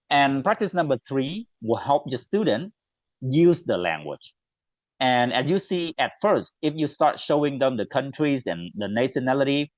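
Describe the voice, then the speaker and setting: A man's voice: medium pitch, dry recording. Man, Vietnamese accent, online teacher training, computer mic, muffled dry audio. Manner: clear, deliberate classroom delivery, slows on model phrases.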